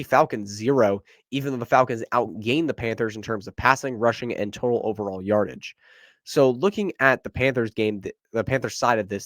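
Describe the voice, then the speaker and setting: A man's voice: fairly low pitch, dry recording. A young man hosting a solo sports podcast, stuffed up and a little nasal, talking with energy in a casual, rambling way. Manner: energetic, conversational, quick asides. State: congested, stuffy nose. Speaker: podcast host.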